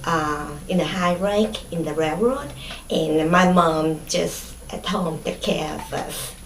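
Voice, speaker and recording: Slow, hesitant Vietnamese-accented woman. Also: low voice, reverberant, rough recording. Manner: hesitant, many fillers and restarts. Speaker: interviewee. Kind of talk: oral history interview.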